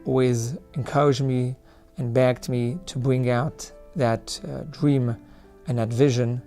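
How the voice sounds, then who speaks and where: A man's voice: mid-range voice, little pitch movement, slow pace, mellow. A man from England, a rabbi, recounting his life in a video testimonial. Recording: music playing behind the voice.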